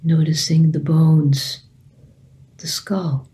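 A woman meditation teacher leading a guided meditation calmly and gently, in short phrases with long pauses. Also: low-pitched, low-quality recording.

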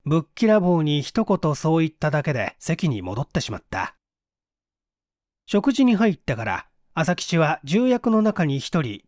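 Man reading a mystery story, lively intonation. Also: on the high side, no echo, clean studio sound. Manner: measured storytelling with acted dialogue. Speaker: audiobook reader. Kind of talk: dramatic narration of a mystery story.

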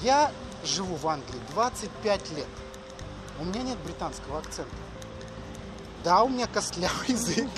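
A middle-aged man with a notably high voice hosts a casual walking vlog. He is out on city streets, with prominent music behind him. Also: very animated, normal speed. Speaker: education agency host. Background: music, street ambience.

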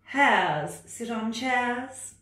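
A woman's voice: fairly high pitch, animated, drawn-out words, pushed and bright, voice pushed up, full character voice. A woman voicing a bossy, impatient know-it-all storybook cat, making emphatic singsong rhyming pronouncements. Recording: roomy, distant microphone.

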